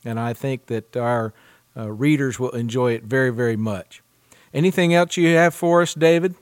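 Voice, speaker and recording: A man's voice: mid-range voice, moderate pace, clear, resonant tone, no room sound. Man, podcast host, mid-range voice, dry recording, interview and announcer delivery. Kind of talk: interview chat and scripted announcements.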